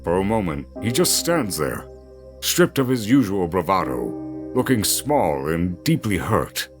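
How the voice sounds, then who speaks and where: Guy narrating a dramatic story, really lively intonation, mid pitch, music behind him. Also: measured pace. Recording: music under the voice.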